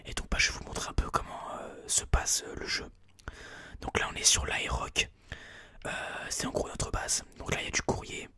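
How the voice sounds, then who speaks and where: A person whispering informal, explanatory ASMR gameplay commentary in a breathy, unvoiced voice, a little hesitant, close on a binaural mic. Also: moderate pace, sharp tone. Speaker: ASMR gaming video host. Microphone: close binaural microphone.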